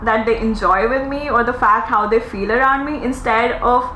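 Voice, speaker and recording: A woman in her thirties talks openly in a casual podcast monologue, earnest and chatty, her voice sitting a little high. She pops into lively quoted asides now and then. The room rings with echo under a faint hiss. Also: moderate pace. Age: 30s. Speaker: podcast host. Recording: echoey room with faint noise.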